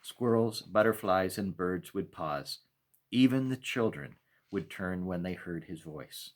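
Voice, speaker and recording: A man reads a children's story aloud as a pastor at storytime, in a measured, expressive way with deliberate pauses. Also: low voice. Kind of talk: storytime read-aloud narration.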